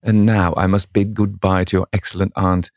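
A man narrating plays an elderly, bookish gentleman from a classic novel. His voice sits low, with courtly, formal phrasing and dry wit. The sound is close, with little room around it. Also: level tone, normal speed, no echo. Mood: courteous, wry. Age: older. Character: courteous old English gentleman, bookish and drily witty.

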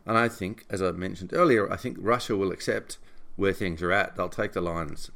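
Man, deep low voice, informal solo commentary, deliberate, emphatic, opinionated. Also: gravelly, fairly bright tone. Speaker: podcast host and writer summarizing own article.

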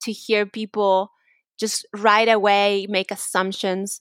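A young woman with a Mexican accent talks freely as a podcast guest and keeps circling back to restart her sentences. Her voice sits at a middle pitch, and the recording is close and dry with no room sound. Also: flat delivery, slow, clean tone, no room sound. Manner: chatty, laughs often, many restarts. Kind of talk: casual interview chat.

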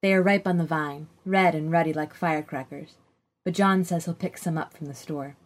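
A woman's voice: low-pitched, steady tone, normal speed. A woman reading a first-person literary short story aloud.

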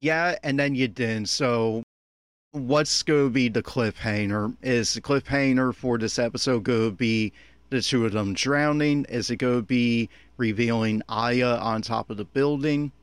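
A man's voice: mid-range voice, unhurried, clean tone, dry recording. Man, mid-range voice, opinionated, exasperated, unscripted episode commentary, very little room sound. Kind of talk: unscripted running audio commentary.

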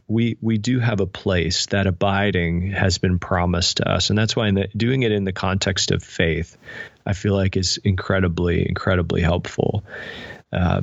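A man speaking as an interview guest in a thoughtful, measured, explanatory way. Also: sits low, gravelly, no echo, muffled recording. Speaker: podcast guest, spiritual director and author. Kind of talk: reflective interview conversation.

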